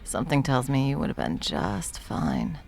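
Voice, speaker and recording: A woman playing a confident, flirtatious, protective bartender in an intimate ASMR roleplay, teasing and unhurried. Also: extremely low pitch, big pitch swings, creaky, soft background music, exaggerated voice. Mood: confident, flirty. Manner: teasing, unhurried, soft chuckles, dropping toward whispers. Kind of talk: ASMR roleplay, intimate one-sided conversation.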